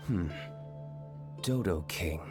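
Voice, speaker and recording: Low, stoic, brooding nobleman. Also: slow pace, music in the background. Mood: dry, aloof. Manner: terse, deadpan, formal. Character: stoic, brooding young nobleman, dry and curt. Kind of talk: video game voice acting.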